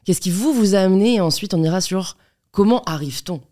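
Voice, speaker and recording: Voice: medium pitch, fairly quick, warm tone. A podcast host asking casual, curious questions with many fillers. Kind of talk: conversational interview.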